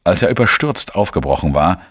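An actor playing a weary, irritable investigator who narrates a German crime radio drama in a blunt, sardonic way. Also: male voice, deep voice, animated, fairly quick, a bit bright, slight background noise. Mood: grim narrating.

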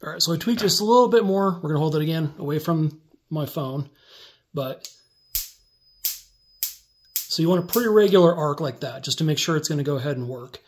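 A man walking through a hands-on build step by step in a relaxed, casual tutorial, filmed on a phone over a workbench indoors. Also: fairly high pitch, brisk, pauses often. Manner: relaxed step-by-step explaining while working. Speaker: DIY tutorial maker.

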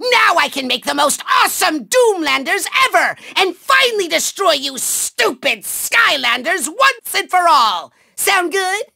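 Casting: childish megalomaniac video game overlord, shrill and forceful with a gritty rasp, theatrical, gloating, quick to whine. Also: male voice, big pitch swings, no echo, full character voice. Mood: triumphant. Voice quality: shrill, gravelly. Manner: grandiose, gloating, mocking, whiny outbursts. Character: pompous small male evil overlord, childish, hot-tempered, megalomaniac.

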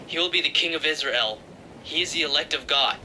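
A man doing a gruff, skeptical fisherman. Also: fairly high pitch, a little fast, sharp tone, a little hiss or hum, rough recording. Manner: impatient, sneering.

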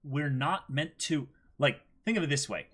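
A man in his twenties with an American accent chats casually as co-host of a stream, rambling excitably and repeating words. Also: fairly high pitch, moderate pace. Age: 20s. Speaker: co-host of a manga reading stream. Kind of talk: casual chat, reading and answering chat comments.

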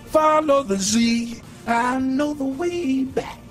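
A man voicing a swaggering, slangy pimp in a video game, his voice pitch-corrected into a robotic singsong. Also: slow, soft background music. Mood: businesslike. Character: swaggering, smooth-talking male pimp.

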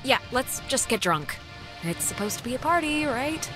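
A casual, wry teenage girl with an American accent. Her voice is fairly high and conversational, with a dry protective edge. It is video game dialogue with clear music underneath. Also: very animated, normal speed, rough recording. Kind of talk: naturalistic video game acting.

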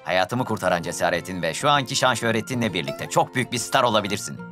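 A man voicing a suave, excitable sports-car movie star in a children's cartoon, with a persuasive, showy manner. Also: lowish voice, fast talker, close to an everyday voice. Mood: smooth persuasion. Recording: music behind the voice.

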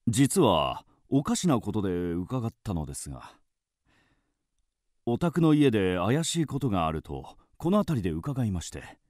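An actor playing a courteous, guarded police detective in a radio drama, speaking formally and politely. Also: male voice, fairly low pitch, big pitch swings, moderate pace, a little mellow, no room sound, clean studio sound. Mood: polite, probing.

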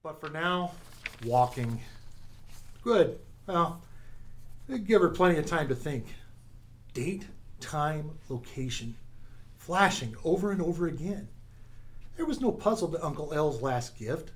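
A man narrating a radio drama in a suspenseful storytelling style, with deliberate dramatic pauses. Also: a little high, expressive.

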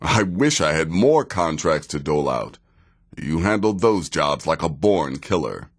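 A seasoned video game assassin with a low-pitched voice. He takes his time over each phrase, dry and sardonic, yet his tone rises and falls freely. There is wry menace in how he speaks. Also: sing-song, measured pace, husky, exaggerated voice. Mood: measured, grave. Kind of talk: video game character dialogue.

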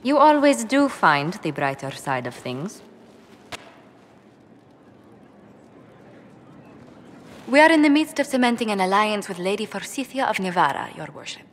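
A woman's voice: medium pitch, sing-song, a little fast, long reverb tail, slight background noise, natural voice. A woman voicing a poised, courteous court diplomat in a video game, speaking formally. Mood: dry, concerned. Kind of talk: video game dialogue acting.

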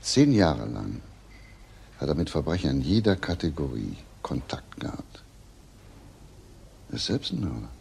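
An actor playing a shrewd senior police inspector in a crime drama, probing and insistent. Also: male voice, a bass voice, very animated, unhurried, creaky, pauses often, a little mellow, heavily acted. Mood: reflective, grim. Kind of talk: German TV crime drama acting. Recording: faint background noise.

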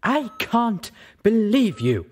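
A man voicing a sharp-tongued female pony companion, cross, scolding and demanding. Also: high voice, very animated, slow, pitched above the performer's own voice, full character voice. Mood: outraged.

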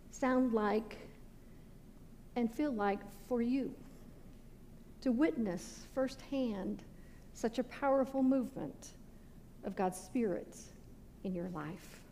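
A middle-aged American woman preaches from the pulpit in a high voice. She phrases deliberately, letting the ends of sentences drop away. The sanctuary adds a long reverb, with faint noise underneath. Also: darkish tone. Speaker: pastor. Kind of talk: sermon. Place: indoor stone-walled church sanctuary at a pulpit. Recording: reverberant church hall with background noise.

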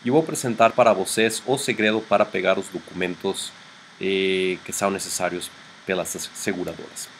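Mexican man pitching in halting Portuguese. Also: steady tone, a bit bright, slight background noise, poor audio. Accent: Mexican Spanish. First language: Spanish. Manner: earnest, hesitant with frequent fillers. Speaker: startup founder presenting a product demo. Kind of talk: screen-share sales demo. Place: small room indoors.

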